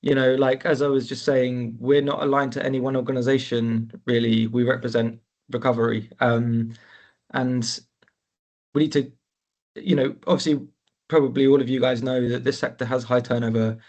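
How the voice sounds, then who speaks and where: Mid-pitched man, casual filler-heavy update, webinar call audio. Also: flat delivery, normal speed, a little mellow. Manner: loose, lots of "sort of" and "you know". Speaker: network member presenting. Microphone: video call.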